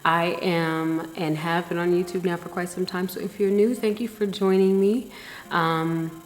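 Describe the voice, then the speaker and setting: A woman's voice: lowish voice, steady tone, unhurried. A middle-aged woman vlogger chatting candidly to camera, a little nasal from a slight cold, rambling and reflective. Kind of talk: casual reflective chat to camera. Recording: echoey room with long reverb.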